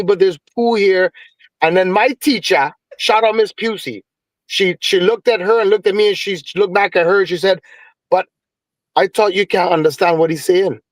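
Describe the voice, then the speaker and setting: A Trinidadian man tells stories with easy Caribbean turns of phrase. His voice sits a little high and sounds dry and close, with no room around it. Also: no echo, poor audio. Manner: animated storyteller with Caribbean phrasing. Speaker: guest musician. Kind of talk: casual storytelling interview.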